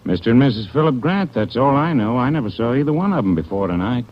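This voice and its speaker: A man's voice: medium pitch, animated, quick pace, slight background noise, natural voice. A man playing a jittery hotel manager. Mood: anxious. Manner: eager, rambling explanations. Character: nervous, talkative small hotel manager. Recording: dull old radio broadcast recording.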